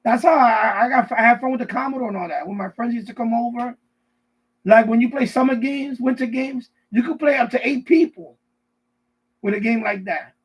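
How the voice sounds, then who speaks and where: A middle-aged man hosting a casual live stream, rambling and often repeating himself, with a husky voice. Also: very high-pitched, fairly quick, slight background noise, poor audio. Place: basement.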